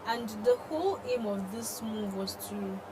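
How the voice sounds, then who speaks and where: A woman's voice: mid-range voice, sing-song, measured pace. A woman with a Nigerian accent co-hosting a conversational discussion of the news. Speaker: talk show co-host discussing the news. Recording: noisy room ambience.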